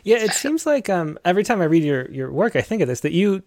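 A man hosting an interview, asking questions in a halting way with many ums. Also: high voice, big pitch swings, a little mellow, dry recording, studio quality. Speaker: interview host. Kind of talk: casual interview.

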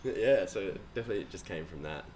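Laid-back young Australian man, low voice. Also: animated, quick pace, darkish tone, slight background noise. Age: young adult. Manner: laid-back, rambling, swears a lot, frequent fillers. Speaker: guest musician. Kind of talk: casual podcast chat.

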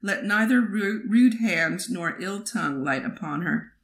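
Woman reading verse aloud in measured phrases. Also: medium pitch, expressive, a bit bright. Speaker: poet reading a poem aloud. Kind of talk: expressive poetry reading with brief asides.

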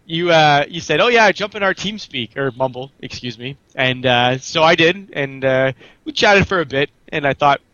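A man with a Canadian accent telling gaming stories casually and haltingly over online voice chat, with an amused manner. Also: fairly high pitch, lively intonation, sharp tone, no room sound, dull audio. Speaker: guild member and raid officer on a group show.

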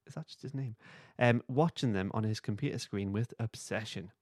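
A man's voice: lowish voice, moderate pace, darkish tone, no room sound, studio quality. A man hosting a podcast, playfully reading a comic script aloud and breaking off to comment. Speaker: podcast host.

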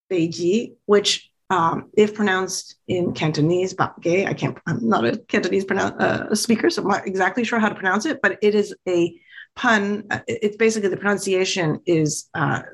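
A woman's voice: fairly low pitch, slightly rough. A woman lecturing on a history topic while pointing at slides, talking with many fillers and false starts. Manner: hesitant, many fillers and restarts.

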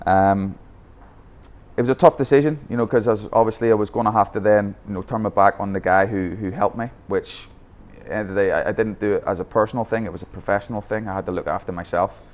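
Deep-voiced Northern Irish football coach. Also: male voice, quick pace. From Northern Ireland. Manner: candid storytelling with many restarts. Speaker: youth football academy founder. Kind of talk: podcast interview. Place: Bangkok.

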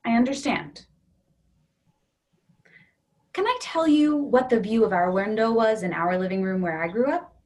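A woman reading the part of a calm, literal-minded character who keeps pressing her point, in a staged play reading. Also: medium pitch, normal speed, long pauses, close to an everyday voice. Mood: arguing calmly. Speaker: reader in a readers theatre play.

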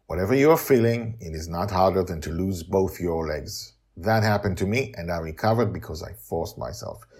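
A man voicing a forceful, blunt woman in a storybook, emphatic and insistent, with a husky voice. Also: low-pitched, moderate pace, few pauses. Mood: firm, urging.

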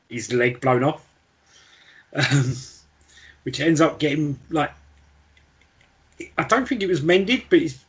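A man's voice: medium pitch, a bit bright, dull audio. A man co-hosting a podcast, casually talking through a book with another person. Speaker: podcast co-host.

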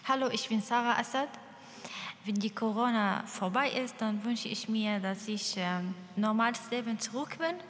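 A woman student speaks haltingly in short, simple phrases and hesitates often. Her voice is higher. It has a long reverb and a faint hiss behind it. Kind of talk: personal statement.

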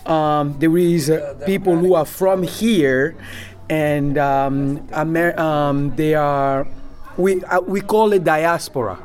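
Man from Congo, slow halting interviewee, slightly high voice. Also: fairly even intonation, darkish tone, soft background music. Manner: halting, many fillers and restarts. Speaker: association member. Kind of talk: casual interview answers.

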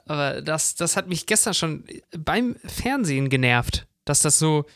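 A man's voice: a little high, animated, a little slow, fairly bright tone, dry recording, studio quality. A man co-hosting a podcast in casual, colloquial conversation. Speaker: podcast co-host. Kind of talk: casual chat.

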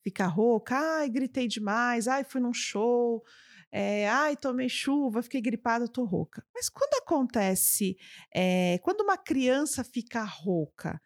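A woman's voice: a little high, animated, measured pace, no room sound, clean studio sound. A woman with a Brazilian Portuguese accent hosting a podcast interview, asking conversational questions and summing up answers. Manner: conversational, sums up answers, many fillers. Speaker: podcast host.

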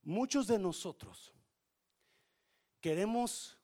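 A male pastor with a Spanish accent, preaching a sermon that switches between Spanish and English and swings from quiet to shouting. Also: high voice, expressive, slow pace. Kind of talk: bilingual sermon.

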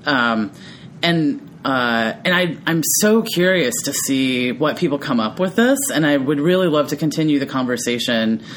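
A woman with a notably deep, low voice talks through ideas in a relaxed podcast chat. She thinks out loud, keeps tagging her points with "right?", and breaks into easy laughter. Faint background noise sits under her voice. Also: normal speed. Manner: tags thoughts with frequent "right?"; laughs easily, animated when amused. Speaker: podcast co-host. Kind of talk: reflective casual conversation.

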